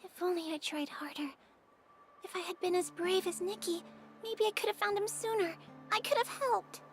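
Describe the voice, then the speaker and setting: A woman's voice: extremely high pitch, fairly even intonation, normal speed, faint music behind. A woman voicing an earnest, tender young girl in a video game, heartfelt and determined. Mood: regretful. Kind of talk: video game dialogue acting.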